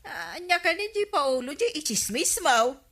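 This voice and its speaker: An actress playing a vain, boastful village housewife in a radio comedy drama, with an Ilocano accent and drawn-out exaggerated delivery. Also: female voice, sits high, very animated, fairly bright tone. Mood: teasing, chatty.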